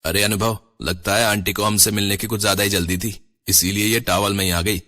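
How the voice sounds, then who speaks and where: A man's voice: fairly low pitch, steady tone, fairly quick, bright, forceful tone. A man voicing pointed, sarcastic young men, sons and their friends, in a cartoon story. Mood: mocking.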